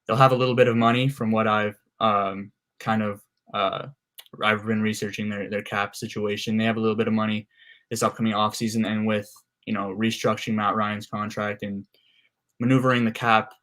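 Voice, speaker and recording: Flat, steady-voiced man talking sports. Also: lowish voice. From Canada. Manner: steady and measured, frequent "uh"s. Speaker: guest co-host. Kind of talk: casual sports analysis.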